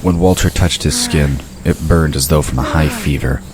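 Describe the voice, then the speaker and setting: A man delivers scripted narration for an audio drama. His pitch is exceptionally low. Noisy ambience and effects sit clearly behind the voice. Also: fairly even intonation, moderate pace.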